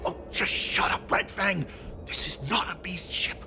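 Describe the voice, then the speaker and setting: A man's voice: sits high, a little slow, creaky, in a whisper, over music. A man playing an irritable, harassed villainous conspirator in a video game. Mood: scolding.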